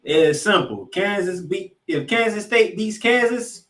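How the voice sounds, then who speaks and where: A man hosting a sports podcast, giving excitable football commentary in an announcer-like style with drawn-out, emphatic phrases. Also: high voice. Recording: echoey room, music underneath.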